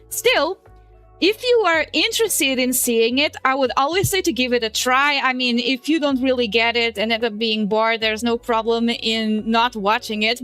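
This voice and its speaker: A woman's voice: high-pitched, a bit bright. A young woman with a Portuguese accent, a native Portuguese speaker, rambling in casual livestream chat, laughing often and swearing casually. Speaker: streamer. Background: faint music.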